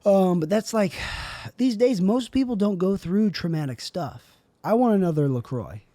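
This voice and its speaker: A young man with a raspy, airy voice, rambling through a solo podcast monologue as host. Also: high-pitched, expressive, moderate pace, mellow, dry recording. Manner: rambling, self-questioning, trailing off.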